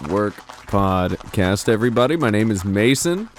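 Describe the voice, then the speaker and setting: A man's voice: lowish voice, big pitch swings, moderate pace, heavy background noise. A young man hosting a casual podcast chat, easygoing and laughing often as he steers the conversation. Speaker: podcast host.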